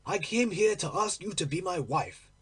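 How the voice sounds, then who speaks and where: A man voicing a tormented gentleman in love, impassioned and demanding, in a storybook reading. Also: high-pitched, expressive, moderate pace, slightly rough, exaggerated voice. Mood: insistent. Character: infatuated, tormented English gentleman lover, impassioned.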